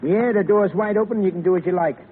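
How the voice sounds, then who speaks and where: An actor playing a curt, slangy guardhouse sergeant who barks orders in a 1930s radio drama. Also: male voice, sits high, fast, close to an everyday voice. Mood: gruff, curt. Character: gruff, bossy army sergeant guarding prisoners. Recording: tinny, echoing, noisy 1930s radio broadcast.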